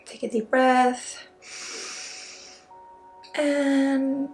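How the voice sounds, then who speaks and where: A woman with a Swedish accent leading an ASMR energy healing session, soft-spoken with pauses and often dropping into whispers. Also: sits high, flat delivery, low-quality recording. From Sweden. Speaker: ASMR energy healer and spiritual guide. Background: music.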